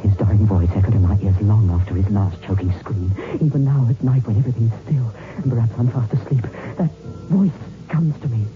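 Man acting a low, cold-blooded schemer, old radio. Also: brisk, lightly acted. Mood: brooding, cold. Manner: brusque, callous, brooding. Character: cold-blooded, greedy, murderous man, scheming diving partner. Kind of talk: 1960s radio suspense drama narration and dialogue. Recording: muffled narrow-band old radio, loud music.

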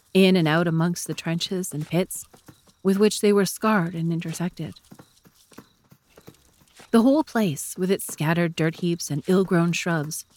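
A woman reading scripted story narration as the narrator of a dramatised audiobook. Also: on the low side.

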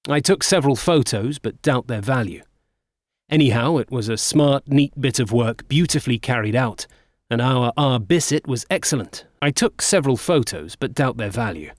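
A man acts a proud naval captain giving his wartime diary in a dramatised reading. His delivery is brisk and clipped, with terse, matter-of-fact phrasing, in a mid-pitched voice recorded dry and close. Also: lively intonation, no room sound, natural voice.

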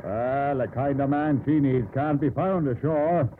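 An actor playing a wry, folksy bosun with a salty seafaring manner in a 1950s radio drama. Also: male voice, medium pitch, unhurried, lightly acted. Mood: wry, musing. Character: wry, folksy old-time sailing-ship bosun. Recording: muffled, hissy 1950s radio broadcast.

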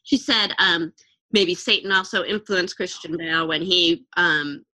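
Wry woman co-host, low yet bright, crisp voice, measured delivery. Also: no echo. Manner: measured, wry, hesitant restarts. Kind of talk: casual commentary chat.

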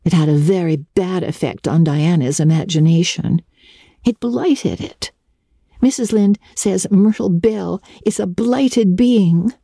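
A woman's voice: fairly low pitch, a little slow, creaky, dark tone, no echo, clean studio sound, pitched above the performer's own voice. A woman voicing an imaginative, talkative young girl, gushing and wistful in a dramatic storybook reading. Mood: dreamy, earnest. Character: dreamy, chatty, imaginative young girl, earnest and romantic. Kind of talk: dramatic audiobook reading of classic children's fiction.

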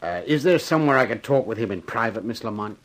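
Guy playing sarcastic old radio show police lieutenant, blunt, insinuating, lively up and down voice. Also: on the low side, fast, lightly acted. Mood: sardonic. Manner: wry, insinuating. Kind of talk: 1950s radio crime drama acting.

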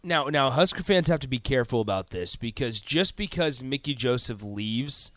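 Male sports radio co-host, mid-pitched, halting analysis with repeated words, close dry sound. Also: expressive, fairly bright tone, no room sound. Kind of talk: sports talk discussion.

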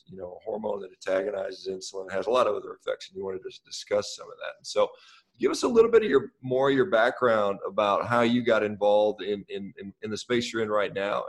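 A man co-hosting a podcast, asking questions in a casual, halting way and often repeating words as he goes. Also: fairly low pitch, creaky, breathy edge. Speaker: podcast co-host and interviewer. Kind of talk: casual interview over a call.

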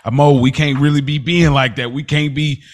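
A man's voice: fairly high pitch, fairly even intonation, normal speed. A man co-hosting a podcast, chatting casually and full of slang. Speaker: podcast co-host.

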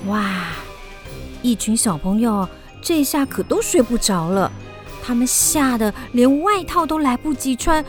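A woman narrating a children's radio drama in an expressive, suspenseful storytelling style. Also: a little high, measured pace, soft background music.

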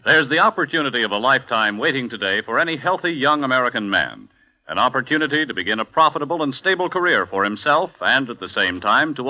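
A man announcing a recruitment message formally and earnestly, his pitch rising and falling a lot. It comes through as a muffled old radio broadcast. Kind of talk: read recruitment advertisement.

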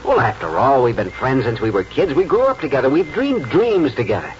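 A man's voice: medium pitch, sing-song, a little fast, a little hiss or hum, natural voice. An actor playing an ambitious, earnest young lawyer who narrates his life with wry theatricality in 1940s radio drama style. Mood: eager. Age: young adult. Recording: dull 1940s radio recording with loud music.

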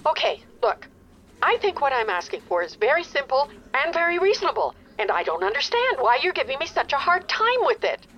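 Dull phone-call sound, anxious older mom, high voice, testy, calling customer service in an audio drama. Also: female voice, big pitch swings, a little fast, a bit bright, a little hiss or hum. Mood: exasperated. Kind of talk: naturalistic audio drama acting.

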